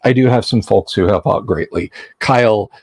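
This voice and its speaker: A man's voice: on the low side, normal speed, no echo, studio quality. A man hosting a casual livestream chat, rambling and self-deprecating, often restarting his sentences. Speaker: livestream host.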